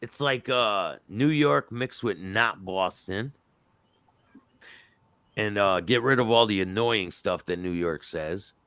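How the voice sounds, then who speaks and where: A man's voice: lowish voice, slow pace, a bit bright, smooth, no echo. Joking man hosting, dry close sound. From Connecticut, United States. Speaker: host. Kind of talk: casual live chat.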